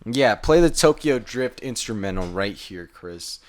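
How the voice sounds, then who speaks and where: A man's voice: on the low side, expressive, measured pace. A young man co-hosting a podcast, telling a rambling story in casual, swearing chat. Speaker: podcast co-host.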